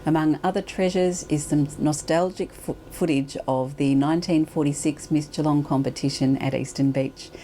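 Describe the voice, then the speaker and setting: Woman reading local tv news, low dark mellow voice, scripted. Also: fairly even intonation, moderate pace, few pauses, a little hiss or hum. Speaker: news presenter.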